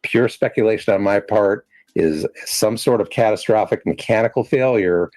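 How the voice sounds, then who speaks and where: A man giving measured, speculative analysis as an interviewed commentator. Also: on the low side, darkish tone, no echo.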